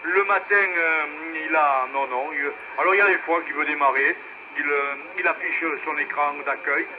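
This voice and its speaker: Man, slightly high voice, hesitant net operator, narrowband radio transmission, clear static noise. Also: moderate pace. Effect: two-way radio transmission. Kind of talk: casual ham radio net talk. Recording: noisy narrowband ham radio relay.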